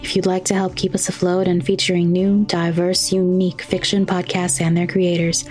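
A woman's voice: on the low side, flat delivery, normal speed, a little mellow. A woman announcer reading a scripted podcast outro. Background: music.

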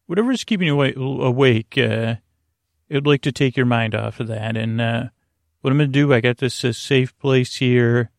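A man hosting a podcast in a creaky voice, giving a soothing, meandering bedtime monologue with halting repeats and stretched pauses. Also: medium pitch, no echo, studio quality. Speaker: podcast host.

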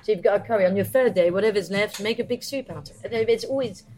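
A woman chef and cookbook author chatting casually about cooking, lively and laughing often. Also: medium pitch, fast, background noise. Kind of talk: casual chat.

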